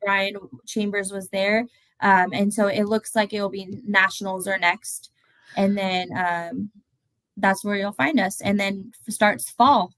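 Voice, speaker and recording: A woman's voice: mid-range voice, fairly even intonation, a little slow, fairly bright tone, no echo, poor audio. A woman guest chatting on a live podcast stream in an upbeat, cheering way. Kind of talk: casual live-stream chat.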